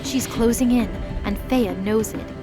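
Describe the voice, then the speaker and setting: A woman giving scripted narration of a fantasy battle in a steady, measured way. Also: on the high side. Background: music.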